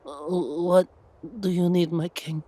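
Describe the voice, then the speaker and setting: A man's voice: high-pitched, level tone, slow, dark tone, pitched above the performer's own voice. A man acting a cowardly, grovelling duke in an audio roleplay, stammering and pleading in terror. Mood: terrified grovelling.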